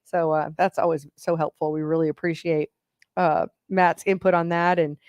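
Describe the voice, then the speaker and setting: A woman's voice: sits low, steady tone, moderate pace, a little mellow, dry recording, studio quality. Chatty woman co-hosting a live show. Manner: chatty, rambling, greets and reads out viewer comments. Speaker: co-host of a racing engine livestream. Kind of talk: casual chat.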